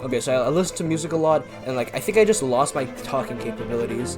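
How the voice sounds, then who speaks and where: A man's voice: medium pitch, animated, fast. A young man from Canada chatting casually over gameplay, rambling with lots of fillers and self-deprecating asides. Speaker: YouTuber. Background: background music.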